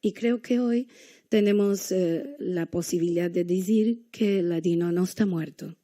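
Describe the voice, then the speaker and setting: A woman from Argentina with an Argentine accent, giving a university lecture with small pauses and hesitations. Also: on the low side, dark tone. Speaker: guest lecturer.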